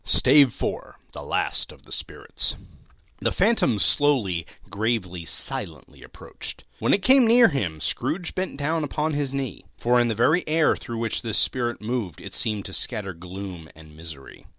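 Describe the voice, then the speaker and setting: A man narrating a classic story as a dramatic audiobook, with theatrical, deliberate phrasing and emphatic lists. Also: fairly low pitch, sing-song, bright, forceful tone, no room sound. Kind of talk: dramatic scripted literary narration.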